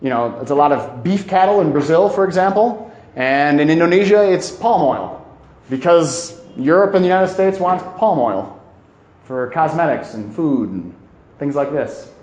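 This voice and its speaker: A man with a slightly high voice gives an informal lecture to a room. He speaks in emphatic bursts, repeating key phrases and pausing to search for words. The sound is strongly echoey, as in a reverberant indoor space. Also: animated, a little mellow, highs cut off. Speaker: presenter giving a climate talk. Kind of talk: informal educational lecture to a live audience.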